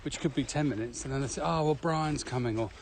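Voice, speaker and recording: A man's voice: medium pitch, fast. A man co-hosting a podcast, chatting casually and telling anecdotes while out on a walk. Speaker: podcast co-host and trainer. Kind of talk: casual walking podcast chat. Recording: noisy walking recording with ambient sound.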